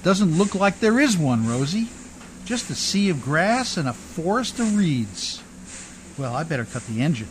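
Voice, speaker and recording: A man's voice: on the high side, sing-song, measured pace, a little hiss or hum. Man acting a folksy riverboat man, swooping voice. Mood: worried, resigned. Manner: colloquial, ungrammatical, hearty working-man talk. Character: folksy, warm, rough-spoken riverboat man in love. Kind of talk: old-time radio drama acting.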